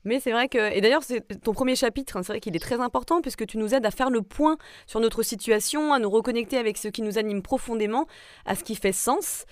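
Woman, interview host, rapid speech, agreeable, encouraging, casual conversational style, close dry sound. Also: a little high, no echo.

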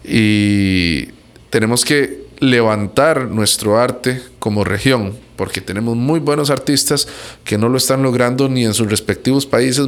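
A man from Costa Rica with a Costa Rican accent, a musician giving casual, conversational answers in an interview. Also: on the low side.

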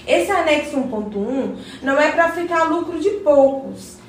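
Radio drama role, for a woman: sincere young neighbour, high-pitched, very echoey recording. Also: expressive, normal speed. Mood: earnest. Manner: earnest, inquiring, respectful. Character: Margarida, earnest pregnant younger neighbour woman. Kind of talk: scripted community radio drama. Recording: very echoey, distant mic, faint noise.

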